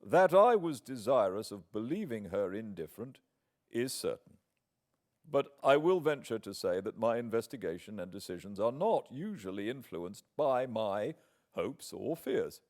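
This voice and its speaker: Man reading as a low-voiced proud British gentleman. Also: very animated. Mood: measured, defensive. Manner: formal, measured, emphatic. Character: proud, wounded young English gentleman writing a letter. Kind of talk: dramatic audiobook reading.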